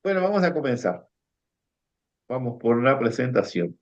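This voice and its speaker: A man's voice: medium pitch, very animated, normal speed. A man talks as a coach, earnest and heartfelt, stumbling over restarts. His mid-range voice comes through a dull, lo-fi recording. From Uruguay. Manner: warm, earnest, many restarts. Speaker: coach and teacher, co-host. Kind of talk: casual conference talk. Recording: dull lo-fi sound.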